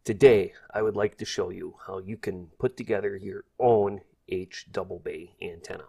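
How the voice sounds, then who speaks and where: A man's voice: deep voice, fairly even intonation, normal speed, creaky, mellow, breathy edge. A man hosting a casual hobby tutorial, thinking aloud in a hesitant, folksy way. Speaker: host, hobbyist instructor. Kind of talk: casual spoken tutorial over screen.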